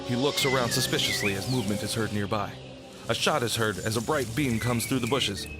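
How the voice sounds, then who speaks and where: A man's voice: mid-range voice, fairly quick. A man narrating a scripted radio drama, describing the action in the present tense. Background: music.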